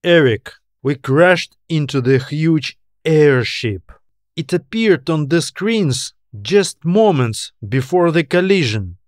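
A man voicing a worried, earnest woman astronaut with a drawn-out, wondering delivery in an audiobook. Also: fairly high pitch, lively intonation, dry recording, studio quality, pitched above the performer's own voice. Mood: unsure.

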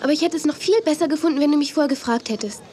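Casting: woman as sensible, slightly exasperated young big sister, high-pitched, for children's audio drama. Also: racing, mellow, slight background noise, close to an everyday voice. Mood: reconciled.

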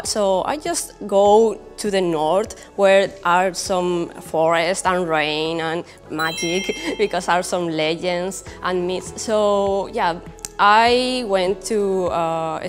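A young woman with a Spanish accent talks slowly in a casual interview, often searching for her next word. Her pitch is average for a woman. The room leaves a long reverb on her voice, and music plays behind her. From Spain. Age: young adult. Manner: halting, searching for words, giggly. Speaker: comic creator being interviewed. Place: indoor brick-walled studio set.

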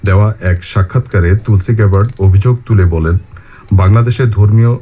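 A man's voice: on the low side, fast talker, warm tone, dull audio. A man reading scripted news formally, heard from a radio playing in a room. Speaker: news reader. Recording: radio played in room, slight noise.

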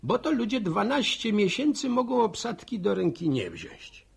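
Husky, jovial village postman. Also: sits high, very animated, normal speed, heavily acted. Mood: genial, folksy. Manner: chatty, folksy, chuckling storyteller. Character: jovial, folksy village postman giving New Year wishes. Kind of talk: radio drama monologue.

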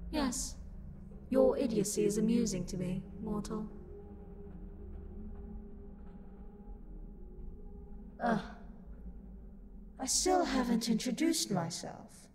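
A woman's voice: on the high side, expressive, a little slow, long pauses, noisy background. A woman playing an angry, haughty, sassy luck demon in a roleplay audio drama, scornful, theatrical and exasperated. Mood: haughty, grudgingly amused.

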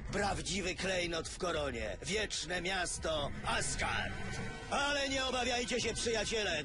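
A grandiose, high-pitched cartoon supervillain. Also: male voice. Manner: grandiose, theatrical, taunting. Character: triumphant male supervillain warlord rallying his allies. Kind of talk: dubbed animated superhero series acting. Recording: loud dramatic music behind.

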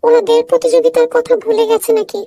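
Little cartoon animal referee: extremely high, creaky voice, instructive and announcing. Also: female voice, level tone, fast talker, dark tone, faint music behind. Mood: expectant. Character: small high-voiced animal race judge, gently moralizing. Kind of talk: children's cartoon dubbing.

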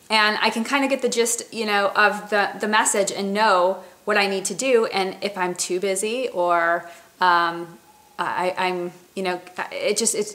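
A woman's voice: medium pitch, bright, forceful tone. A woman in her thirties chatting casually to a webcam in her home kitchen, walking through a product with plenty of fillers and restarts. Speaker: company representative. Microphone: webcam at close range.

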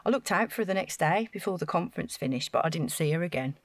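A woman's voice: lowish voice, expressive, quick pace, dry recording. An actress playing an earnest, naive council housing officer in an audio drama, chatty and flustered as she over-explains. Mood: explaining.

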